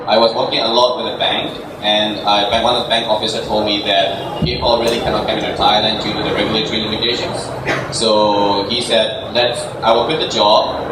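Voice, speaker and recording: A middle-aged man with a Burmese accent and a lowish voice answers as a founder being interviewed live. His delivery is informal and hesitant. He sounds far from the mic in a heavily echoing venue. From Myanmar. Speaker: company founder, interview guest. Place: indoor live meetup event before an audience. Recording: very echoey, noisy venue from far off.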